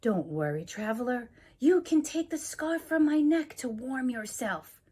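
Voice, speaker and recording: A woman voicing a kind, generous snowman from a children's storybook in a gentle, reassuring manner. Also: high voice, animated, measured pace, husky, pitched above the performer's own voice, full character voice. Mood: kindly, reassuring.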